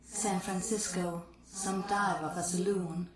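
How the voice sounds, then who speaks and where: A woman's voice: fairly low pitch, fairly even intonation, takes it slowly, crowd noise. An actress playing a sly, sardonic female ghost who taunts and needles, with an echoing effect. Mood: wry. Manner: taunting, wry.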